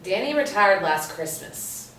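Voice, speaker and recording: A woman's voice: medium pitch, lively intonation, unhurried, fairly bright tone, slight background noise. An actress reading the part of a wry, seasoned policewoman and tracker in a screenplay table read, dry and teasing. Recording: roomy, echoey, distant mic.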